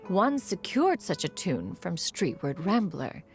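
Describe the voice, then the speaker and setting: A woman's voice: on the low side, sing-song, slow, full character voice. A woman voicing an ancient, aloof female adeptus who speaks in a formal, archaic way. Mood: composed. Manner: archaic formal speech, calls herself "one". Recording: faint music underneath.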